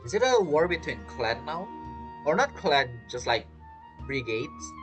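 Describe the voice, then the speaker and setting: A game streamer with a lively, swinging pitch chats casually over a playthrough. The asides are teasing and joking, and faint music plays behind. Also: unhurried. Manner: teasing, joking asides, non-native phrasing. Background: video game music.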